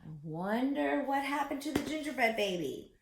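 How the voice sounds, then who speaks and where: A woman's voice: high voice, big pitch swings, moderate pace, vocal fry, slight background noise. A woman reading a picture book aloud to young children as a story-time host, theatrical and often putting questions to her listeners.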